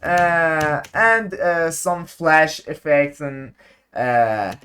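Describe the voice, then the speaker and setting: A man talks slowly through a video editing tutorial, his voice sitting a little high. He stops often, fills gaps with "uh" and hunts for the right English words as he goes. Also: pushed and bright. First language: Persian. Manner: halting, many fillers, searching for English words. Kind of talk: unscripted screen-recorded tutorial.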